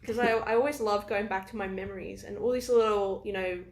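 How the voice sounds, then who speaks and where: A woman's voice: mid-range voice, fairly even intonation, brisk. A woman with an Afrikaans accent chatting casually as a host, rambling and restarting her thoughts as she goes. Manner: rambling, restarts often, thinks aloud. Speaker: podcast host. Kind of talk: casual chat. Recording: echoey room with faint noise.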